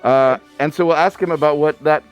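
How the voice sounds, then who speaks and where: A man's voice: a little high, fairly even intonation, a little fast, faint music behind. A male radio host introducing a guest in a chatty, joking way. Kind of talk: casual radio talk.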